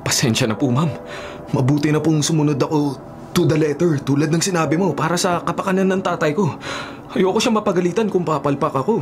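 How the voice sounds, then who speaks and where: A man's voice: a little high, brisk, natural voice. A Filipino-accented man playing a dutiful, disciplined young bodyguard in a radio drama, formal and restrained. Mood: polite, stiff. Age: young adult. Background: music.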